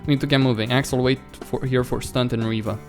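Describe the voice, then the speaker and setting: A man's voice: mid-range voice, fairly even intonation, quick pace. Man doing a let's play over music. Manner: acts out dialogue and comments informally with mild swearing. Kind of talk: reading visual novel dialogue aloud with running reactions. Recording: music behind the voice.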